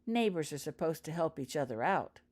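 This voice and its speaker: A woman's voice: low voice, expressive, fairly quick, darkish tone. A woman voicing a concerned, kindhearted schoolgirl witch in a storybook reading. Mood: troubled.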